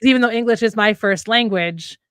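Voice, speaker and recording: A woman's voice: on the high side, brisk, fairly bright tone, clean tone, no echo, studio quality. A woman in her late thirties chatting casually as a live stream co-host, reflective and rambling as she rephrases herself. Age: late 30s. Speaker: writer co-hosting a live stream. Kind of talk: casual chat.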